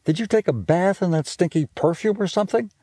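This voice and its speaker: A man doing a bossy big-sister girl, high and squealing. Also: a little fast, warm tone, no echo, studio quality.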